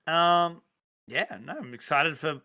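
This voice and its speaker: This is a man co-hosting a podcast with a very bright, sharp tone and a slightly raised pitch. He talks in a relaxed way. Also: slow pace. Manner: relaxed, laughs often. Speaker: podcast co-host. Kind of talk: casual chat.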